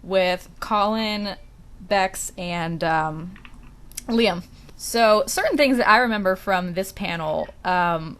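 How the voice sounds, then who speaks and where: A woman's voice: mid-range voice, fairly bright tone. A woman hosting a podcast in a casual, conversational group chat style. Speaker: podcast host.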